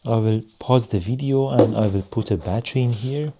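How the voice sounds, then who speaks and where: A man giving a casual hands-on product review in measured, non-native English phrasing. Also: medium pitch, background noise. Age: adult.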